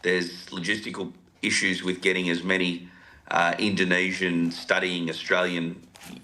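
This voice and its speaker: Low, bright-toned man asking questions. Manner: friendly, light-hearted. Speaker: committee member.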